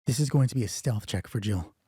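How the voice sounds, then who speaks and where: A man narrating a solo tabletop roleplay as game master, improvising and thinking aloud in a halting way while reading out dice. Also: medium pitch, warm tone, husky, no echo, studio quality.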